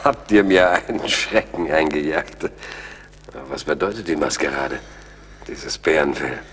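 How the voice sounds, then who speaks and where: Deep-voiced earnest army major. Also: male voice, unhurried, a bit bright, slight background noise. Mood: startled. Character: army major, earnest, anxious gentleman soldier. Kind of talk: German radio play acting.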